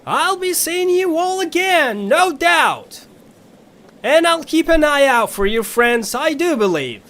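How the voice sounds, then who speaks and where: A man's voice: very high-pitched, big pitch swings, slow, fairly bright tone, full character voice. A man voicing a folksy, bossy ferry captain with a Southern US accent in a cartoonish audio drama. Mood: folksy, cheerful. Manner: folksy, drawling turns of phrase.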